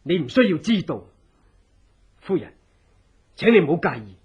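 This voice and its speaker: An actor playing an earnest, secretive revolutionary, speaking with urgent, theatrical persuasion in a radio drama. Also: male voice, fairly high pitch, big pitch swings, moderate pace, breathy edge, exaggerated voice. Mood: grave, guarded. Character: earnest, secretive revolutionary, escaped political prisoner. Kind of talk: Cantonese radio drama acting. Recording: dull, lo-fi old radio drama.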